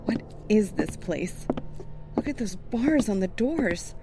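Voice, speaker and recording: A woman's voice: mid-range voice, very animated, takes it slowly, background noise. A woman playing a self-important but jittery young documentary maker in a horror audio drama, chattily narrating her surroundings. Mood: uneasy muttering.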